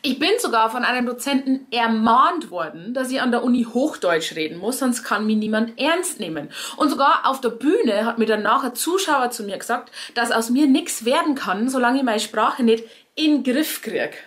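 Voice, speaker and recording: A woman's voice: fairly high pitch, lively intonation, moderate pace, fairly bright tone. A woman with a Bavarian accent explaining in a conversational, wry way, addressing her audience directly as a cabaret performer would.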